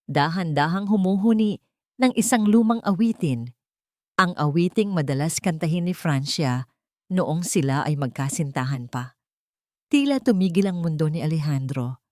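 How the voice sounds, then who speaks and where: Woman telling a dramatic audiobook story, low pitched voice, dry close sound. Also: a little mellow, no echo. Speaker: narrator. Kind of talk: dramatic scripted audiobook narration.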